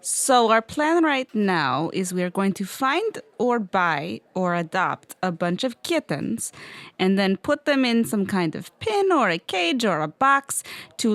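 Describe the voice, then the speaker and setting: Female tabletop player, mid-pitched, casual improvised role-play, very dry sound. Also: dry recording.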